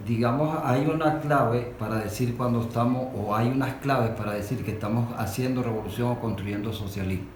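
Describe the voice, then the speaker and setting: A man's voice: mid-range voice, background noise. A man with a Venezuelan accent speaking as a discussion guest, setting out his points in a didactic way. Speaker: interview guest, industrial worker. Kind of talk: spontaneous political discussion. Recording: echoey room with music behind.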